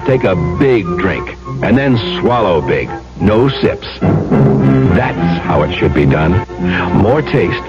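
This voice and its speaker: A man reads an old radio advertisement. His lowish voice moves up and down a lot, folksy and persuasive. Loud music runs behind him on a muffled recording. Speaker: commercial announcer. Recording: muffled vintage radio broadcast.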